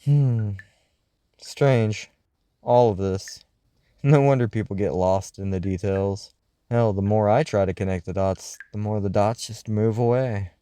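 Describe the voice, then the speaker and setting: A man's voice: on the low side, steady tone, measured pace, warm tone, smooth. A man working as a host, reading listener mail in a scripted radio-show style with a theatrical, wry, musing delivery.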